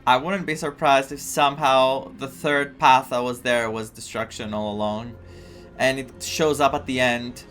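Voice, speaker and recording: A man from Greece giving casual, excitable reaction commentary on a game, thinking aloud as he plays. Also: medium pitch, moderate pace. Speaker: gaming streamer. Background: faint game music.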